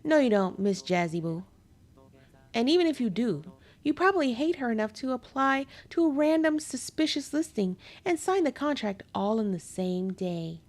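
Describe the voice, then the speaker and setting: A polite cannibal man who shares your apartment, calm, pleasant and quietly menacing, as a woman reads him in her own hoarse, higher-pitched voice. He keeps a friendly tone even when he makes a threat. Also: normal speed. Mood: smug. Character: polite male cannibal housemate, cheerfully menacing.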